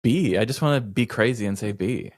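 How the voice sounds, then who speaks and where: A man's voice: fairly low pitch, fairly quick, no room sound, studio quality. A man co-hosting a comedy podcast in casual, joking chat. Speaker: podcast co-host.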